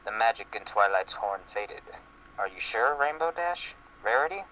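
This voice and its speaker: A man's voice: fairly low pitch, expressive, normal speed, bright, forceful tone. A man narrating fan fiction as a comedic dramatic reading, with dialogue acted out. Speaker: reader. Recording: lo-fi muffled audio, faint noise.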